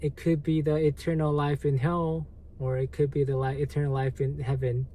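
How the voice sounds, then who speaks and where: A man with a Korean accent, a native Korean speaker, hosting a livestream and answering viewer comments in a halting, hesitant way with lots of fillers. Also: on the high side, flat delivery, warm tone, a little hiss or hum. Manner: slow, halting, many fillers and restarts. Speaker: livestream host.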